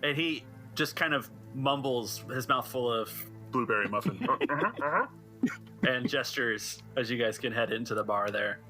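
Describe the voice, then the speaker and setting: A man acts as game master for a tabletop roleplaying game. His mid-pitched voice is very bright and forward as he describes scenes conversationally. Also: animated, a little slow, soft background music.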